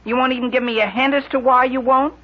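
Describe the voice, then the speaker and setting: A man's voice: extremely high pitch, flat delivery, fast talker. An actor playing an earnest teenage boy, politely persistent and wheedling, in a 1940s radio comedy. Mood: pleading. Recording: dull 1940s radio broadcast.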